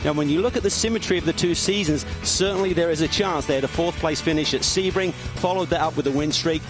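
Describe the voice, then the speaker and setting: Male race reporter, slightly high. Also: quick pace, music in the background, low-quality recording. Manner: poses questions, stats-heavy delivery. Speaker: pit reporter. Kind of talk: scripted race preview.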